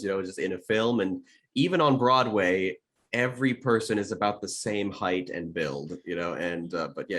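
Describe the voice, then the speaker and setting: A man with a fairly low voice chats on a casual panel. He speaks haltingly and circles back over his words before finishing a thought. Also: fairly bright tone.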